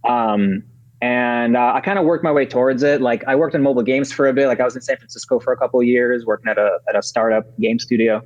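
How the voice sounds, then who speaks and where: A man in his thirties, originally from Ukraine, chatting casually as a podcast guest, rambling with many fillers and restarts. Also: medium pitch. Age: 30s. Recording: dull lo-fi audio.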